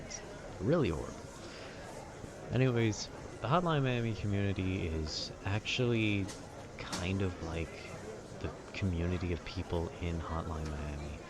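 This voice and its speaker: Very deep-voiced young man rambling calmly. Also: normal speed, background noise. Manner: calm, informal, wry. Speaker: video creator. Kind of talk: casual rambling monologue about video games.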